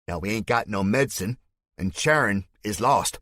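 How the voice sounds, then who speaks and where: A man voicing an unstable male militia leader with a fake southern drawl, making loud, theatrical speeches to a crowd. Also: on the low side, expressive, moderate pace, no room sound, clean studio sound, voice pushed up. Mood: sermonizing.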